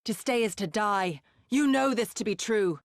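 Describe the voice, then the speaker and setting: An actress playing a proud, resolute retainer of a lord, speaking formally and earnestly in a video game cutscene. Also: female voice, mid-range voice, moderate pace, dry recording, studio quality, natural voice. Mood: impassioned, challenging. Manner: formal, archaic, earnest diction.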